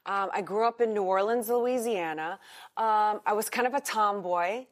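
Candid woman guest, halting and open. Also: medium pitch. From United States. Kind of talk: interview. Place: hotel in Singapore.

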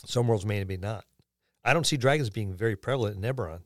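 A man's voice: lowish voice, fast, dry recording, clean studio sound. A man co-hosting a podcast, musing aloud in casual discussion and posing questions as he goes. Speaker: podcast co-host. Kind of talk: casual tabletop gaming discussion.

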